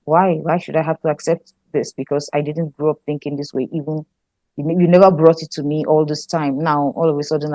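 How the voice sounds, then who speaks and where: Nigerian woman, low and dry, musing. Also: dark tone, no room sound. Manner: thoughtful, laughs often, trailing restarts. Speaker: guest scriptwriter. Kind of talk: casual interview chat.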